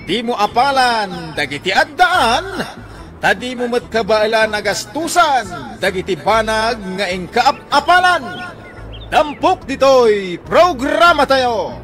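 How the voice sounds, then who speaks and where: Male radio announcer, Ilocano accent, theatrical scripted delivery, swooping high pitch, music bed underneath. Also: very animated, slow, fairly bright tone.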